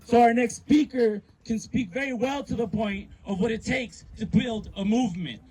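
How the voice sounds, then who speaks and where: High-voiced male rally emcee. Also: normal speed, breathy edge, rough recording. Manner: loud, leads chants and introduces speakers. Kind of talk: rally hosting. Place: outdoor protest in a city.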